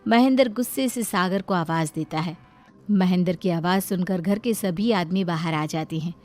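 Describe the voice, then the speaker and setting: A woman's voice: on the low side, moderate pace, a little mellow. A woman narrator reading a scripted moral story in a dramatic storytelling style.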